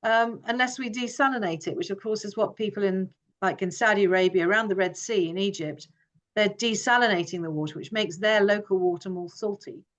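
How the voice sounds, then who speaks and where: A woman's voice: mid-range voice, brisk. Earnest woman presenting at a forum. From Hong Kong. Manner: earnest, many restarts and fillers. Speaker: forum speaker. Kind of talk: informal presentation.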